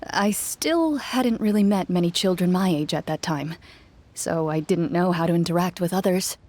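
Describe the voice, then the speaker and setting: An actress playing a calm young woman duelist in a video game, speaking in a measured, formal manner. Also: fairly low pitch. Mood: quietly reminiscent. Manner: composed, measured, formal wording. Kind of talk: scripted game dialogue.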